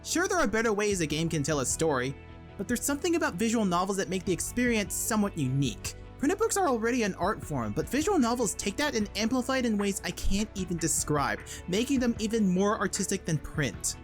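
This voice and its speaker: A woman narrates a scripted gaming essay with a playful, informal delivery. She talks quickly, and music plays behind her voice. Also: medium pitch, very animated. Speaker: video essay host. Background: background music.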